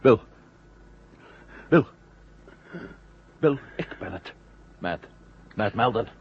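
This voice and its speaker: Astronaut over a tinny radio link. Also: male voice, on the low side, lively intonation, drawn-out words, slightly rough, exaggerated voice. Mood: concerned. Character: alert, urgent male astronaut on a lunar mission. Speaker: actor in a science fiction radio serial. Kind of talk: 1970 Dutch-language radio drama acting. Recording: tinny phone-like radio link, hum and noise.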